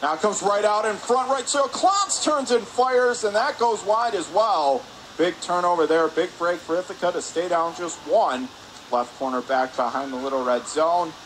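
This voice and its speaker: A man with a somewhat high-pitched voice calls ice hockey as it happens. His phrases are short and running, climbing with the play. Clear background noise from an indoor rink sits behind him. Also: moderate pace, a bit bright, low-quality recording. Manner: clipped running phrases, rising with the action. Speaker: play-by-play announcer. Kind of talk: live ice hockey radio commentary.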